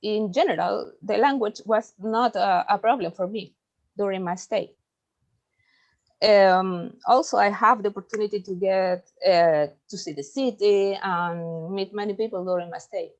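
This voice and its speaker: A young Chilean woman, a native Spanish speaker, carefully reading a research presentation in English with halting ums. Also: mid-range voice, a little mellow, highs cut off.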